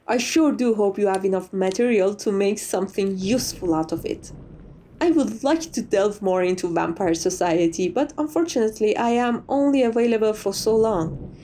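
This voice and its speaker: A woman's voice: medium pitch, mellow, natural voice. A woman playing a sociable, gracious vampire who chats in a genteel, slightly formal way, as an ASMR roleplay. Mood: gracious, cheerful. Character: sociable, chatty, gracious old female vampire being interviewed.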